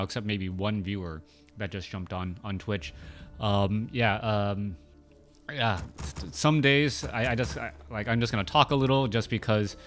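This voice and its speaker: A man in his thirties casting a strategy game match, talking casually with many fillers and restarts. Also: on the low side. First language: Cantonese. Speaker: game caster. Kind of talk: casual play-by-play commentary.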